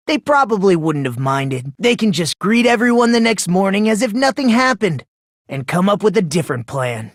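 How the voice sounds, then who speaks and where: An actor voicing an eerily polite, self-deprecating young man obsessed with hope in an anime-style video game, with a breathy voice and a theatrical edge. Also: medium pitch, no room sound, studio quality, lightly acted.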